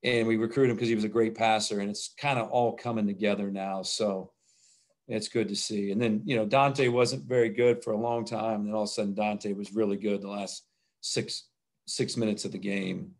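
A man's voice: on the low side, flat delivery, normal speed. A middle-aged man, a basketball coach, talking plainly over a video call at a postgame press conference, often restarting his phrases. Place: indoors in front of a logo backdrop. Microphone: video call webcam.

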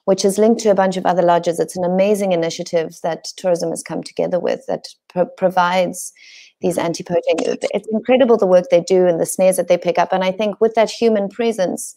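A woman's voice: fairly low pitch, steady tone, fairly quick, warm tone. A woman in her forties with a Zimbabwean accent, hosting a video call, enthusiastic and hesitant with fillers. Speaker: lodge host. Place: home room indoors.

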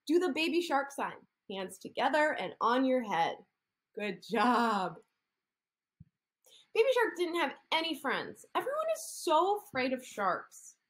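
30s woman therapist doing a kids story read-aloud, high voice that bounces all over, sing-songy and coaxing, webcam in a room. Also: a little slow. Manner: animated, sing-song, coaxing children to copy hand gestures. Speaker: occupational therapist. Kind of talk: reading a picture story aloud to young children. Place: indoor room.

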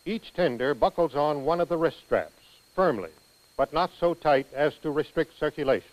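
An American man reading scripted instructional narration for a training film in a precise, measured manner. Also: a little high, lively intonation, low-quality recording.

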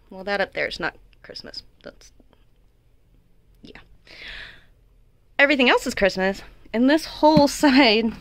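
A young woman giving a casual tour of her small home while filming it on a phone, chatty and quick to laugh at herself. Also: fairly high pitch. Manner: chatty, laughs often, self-deprecating asides. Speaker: vlogger and homeschooling mom. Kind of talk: casual house tour narration.

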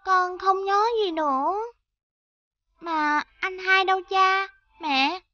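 A performer voicing an innocent, confused little girl in an animated fairy tale. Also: very high voice, slow, no echo, lo-fi sound, full character voice. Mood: confused, weak.

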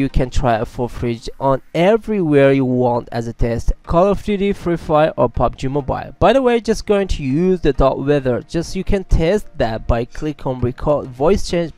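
A man's voice: fairly high pitch, darkish tone. A man, narrating a casual tech tutorial as its host.

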